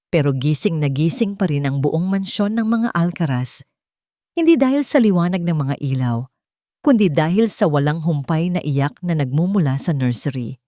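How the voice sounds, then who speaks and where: A woman narrates a dramatic love story as a scripted piece. Her voice sits on the low side for a woman. The sound is very dry, with no room around it. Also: mellow, no room sound.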